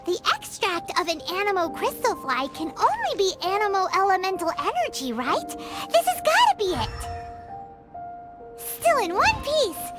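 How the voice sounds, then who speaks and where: This is a tiny floating companion from a game, played by a woman in a fan dub, with a very high voice that leaps up and down. She talks in eager, chirpy bursts. Game music plays loudly underneath. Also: huge pitch swings, unhurried, full character voice. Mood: excited.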